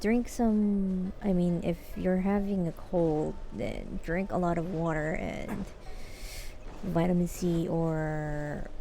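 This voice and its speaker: A woman game streamer chatting casually and commenting on gameplay in an informal, halting way. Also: lowish voice, fairly even intonation, gravelly, dark tone, slight background noise. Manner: informal, halting, repeats herself. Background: game music.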